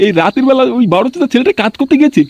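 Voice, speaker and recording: Man doing a shrill, cursing, bad-tempered cartoon father. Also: big pitch swings, racing, a little mellow, dry recording, highs cut off. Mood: angry, suspicious. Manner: scolding, threatening, crude curse-laden speech. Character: bad-tempered, stingy, abusive middle-aged village father. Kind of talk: comic cartoon dialogue.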